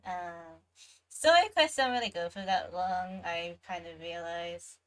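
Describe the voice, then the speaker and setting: A woman's voice: on the low side, measured pace, a bit bright. A woman hosting a livestream, chatting casually and reacting to a game in an easygoing, hesitant way that trails off between thoughts. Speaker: livestreamer, VTuber host.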